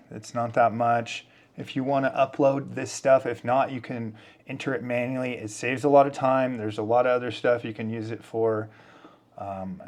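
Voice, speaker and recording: American male tutorial presenter, medium pitch, informal and unscripted, guiding listeners step by step. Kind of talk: casual step-by-step software tutorial.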